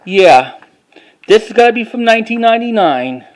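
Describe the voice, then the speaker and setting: A man with a halting, word-repeating way of talking, doing a casual show-and-tell like an enthusiastic collector. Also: sits high, big pitch swings. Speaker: vlogger.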